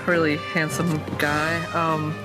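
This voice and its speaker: A woman playing an awkward, jilted romantic hopeful, halting but politely gracious, in an improvised radio comedy. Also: low voice, flat delivery, loud music behind the voice. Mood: awkward, disappointed.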